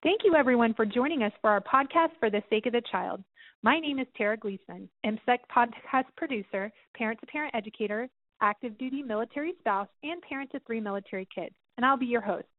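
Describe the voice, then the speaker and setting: A woman's voice: fairly high pitch, fast talker, narrow, tinny audio. A woman hosting a podcast, reading a scripted introduction. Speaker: podcast host. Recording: music behind the voice. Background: music.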